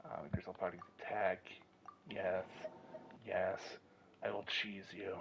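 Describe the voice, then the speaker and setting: A man's voice: deep voice, flat delivery, measured pace, gravelly, muffled recording, background noise. A young man in casual gameplay chat, talking wryly and half to himself as he plays. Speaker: gamer. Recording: faint game music behind. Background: video game music.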